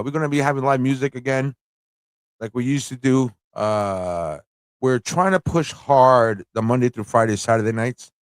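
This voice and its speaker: A man hosts a livestream in an informal, off-the-cuff style. His voice sits at a middle pitch and he rambles, thinking aloud and repeating himself. The sound is close and dry, with very little room in it. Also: dry recording. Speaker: livestream show host. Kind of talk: casual rambling livestream chat and commentary.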